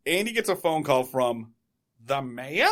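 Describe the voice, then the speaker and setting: A man's voice: a little high, very animated, measured pace, a bit bright. A man co-hosting a casual comedy podcast, sarcastic and profane, laughing often. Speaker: podcast co-host.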